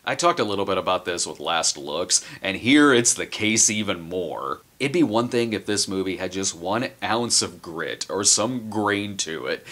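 A man in his thirties hosting a comedic movie review, sarcastic and animated, slipping into mock voices for quoted reactions, recorded in a small indoor room. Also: sits low, moderate pace, few pauses. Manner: sarcastic, animated, mimics characters in asides. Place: small indoor room in front of a video shelf.

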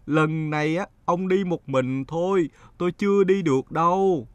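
A man's voice: fairly high pitch, normal speed, clear, resonant tone, dry recording, natural voice. A man voicing a superstitious, fretful and evasive village wife in a cartoon folktale. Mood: stubborn, defensive.

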